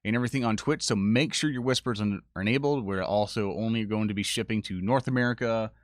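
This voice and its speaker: A man's voice: fairly low pitch, quick pace, dry recording, clean studio sound. A man hosting a casual livestream, chatting to viewers in an effusive, rambling and thankful way. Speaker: stream host.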